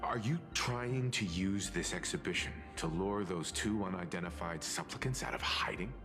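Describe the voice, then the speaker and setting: An actor voicing a calm, reserved and cautious male adventurer in a video game, understated in delivery. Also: low voice, normal speed, music in the background.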